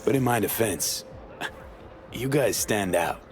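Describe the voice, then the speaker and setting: Game role: smooth undercover merc, low voice with very lively inflection. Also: male voice, slow, faint music behind. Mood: sly. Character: smooth, laid-back male mercenary working undercover. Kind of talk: video game cutscene acting.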